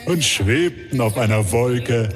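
An actor narrating a comic adventure as a theatrical, mock-dramatic storyteller in an audio play. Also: male voice, medium pitch, expressive, slow, soft background music. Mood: cosy, savouring.

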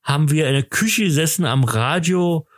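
A man's voice: a little high, normal speed, no room sound, clean studio sound. A middle-aged man hosting a casual podcast, rambling and teasing, slightly tipsy. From Germany. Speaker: podcast host. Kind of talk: casual chat.

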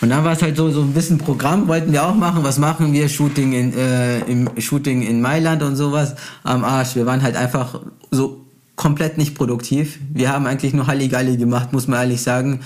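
A man's voice: medium pitch, fairly even intonation, a little fast, a little mellow. A young man with a Brazilian background chats casually, telling anecdotes in a slangy, boastful, unfiltered way. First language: Portuguese. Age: young adult.

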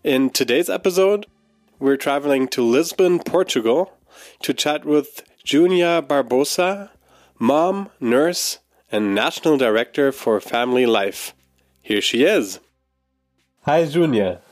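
A man's voice: mid-range voice, expressive, unhurried, no room sound, clean studio sound. A man hosting a faith podcast, reading a friendly scripted welcome and asking curious questions. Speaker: podcast host. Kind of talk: scripted intro, then interview chat.

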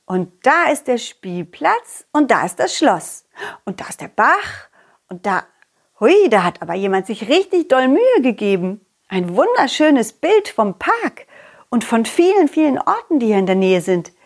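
A woman voicing a confident, loyal, cheerful dog who tells stories to children in an excitable, childlike way, as in an audio play. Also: on the high side, unhurried, full character voice. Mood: relieved, curious. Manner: excitable, childlike, speaking directly to child listeners. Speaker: puppeteer and storyteller.

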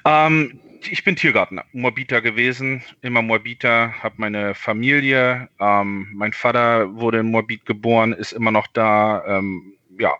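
A man's voice: on the low side, steady tone, unhurried, bright, forceful tone, no room sound, dull audio. A man in his thirties from Berlin, a podcast guest on a video call, talking hesitantly with many fillers. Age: 30s. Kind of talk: casual interview.

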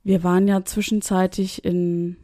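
A woman co-hosting a casual faith podcast, recording remotely from a hotel room and chatting in a relaxed way. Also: on the low side, unhurried, dry recording, clean studio sound. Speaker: podcast co-host. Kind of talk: casual chat.

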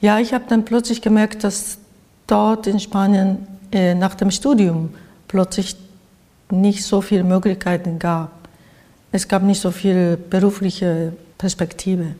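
An older woman from Japan with a Japanese accent, giving reflective interview answers haltingly as she searches for words. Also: mid-range voice, level tone, mellow. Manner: halting, searching for words in non-native phrasing. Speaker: interviewed artist. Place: art studio in Berlin, indoors. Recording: reverberant, echoey room.

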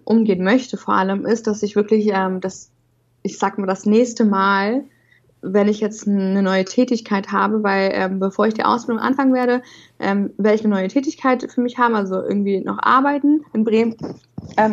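A woman's voice: mid-range voice, fairly even intonation, normal speed. A young woman who stutters, talking as a guest on a podcast with repeated syllables and frequent fillers. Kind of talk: casual interview talk. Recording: dull lo-fi sound.

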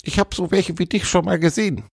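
A man voicing a cheerful, chatty little male woodpecker for a children's storybook, in a creaky, squeezed voice with bubbly, colloquial delivery. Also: sits high, steady tone, a little fast, dry recording, studio quality, voice pushed up, full character voice. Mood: cheeky, greeting.

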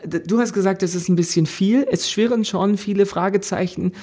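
A man's voice: sits high, a little fast, a little mellow. A man co-hosting a casual German podcast, chatting about a TV series with mock-exasperated asides and self-interruptions. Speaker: podcast co-host. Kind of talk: casual chat reviewing a series.

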